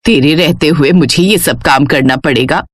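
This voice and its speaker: A woman voicing an older, put-upon but patient mother-in-law in a storybook tale, with measured, drawling speech. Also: sits low, no room sound, voice pushed down. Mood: indignant. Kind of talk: Hindi moral storybook character voice.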